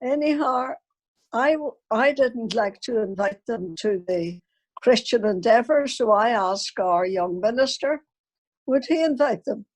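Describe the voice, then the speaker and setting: A woman's voice: on the high side, a little slow, poor audio. An elderly woman, a grandmother, telling personal family stories unscripted and acting out remembered conversations. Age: older, elderly grandmother. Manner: anecdotal, acts out remembered dialogue.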